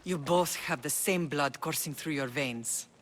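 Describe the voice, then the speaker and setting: A woman's voice: deep voice, unhurried. An actress playing a proud, earnest middle-aged mother from ancient Sparta in a video game, speaking solemnly. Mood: pleading. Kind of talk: dramatic video game acting.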